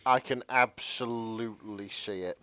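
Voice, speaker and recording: A man's voice: fairly low pitch, measured pace, sharp tone, no echo. A man co-hosting a podcast in casual chat, agreeable and wry. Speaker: podcast co-host.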